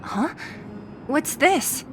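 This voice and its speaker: Feisty, high-pitched, animated young fighter. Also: female voice, extremely slow, exaggerated voice. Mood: upbeat. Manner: brash, casual, expressive. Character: feisty, blunt young female street fighter from the slums. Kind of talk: video game voice acting. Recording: music behind the voice.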